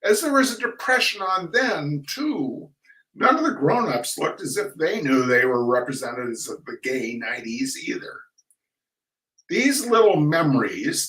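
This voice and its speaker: A man reading humorous essays aloud in a deliberate, theatrical way. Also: mid-range voice, sharp tone. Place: echoey room, recorded at a distance from the mic. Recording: echoey room, distant microphone.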